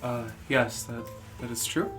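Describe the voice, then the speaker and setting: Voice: medium pitch, a little slow. A player speaking in character during a tabletop game, hesitant and polite. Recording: background music.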